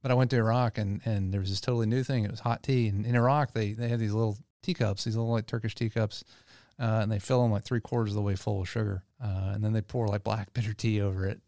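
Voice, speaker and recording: Gravelly low-voiced guy from louisiana, chatty podcast guest, dry close sound. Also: quick pace, few pauses, no room sound. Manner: relaxed storytelling, many fillers and restarts. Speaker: tea company co-founder guest. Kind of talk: podcast interview.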